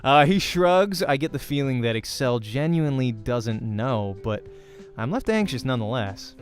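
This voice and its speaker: A man's voice: medium pitch, normal speed. A man hosting a let's play, reading a visual novel aloud expressively and adding casual asides. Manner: expressive, acts out the text. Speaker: let's play host and reader. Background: music.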